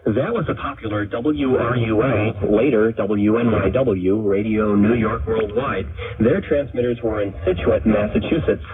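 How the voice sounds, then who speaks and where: Dull narrow-band radio audio, American male host, fairly low voice, measured scripted narration. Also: over a noisy crowd. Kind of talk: scripted narration introducing archive recordings. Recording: band-limited shortwave radio sound.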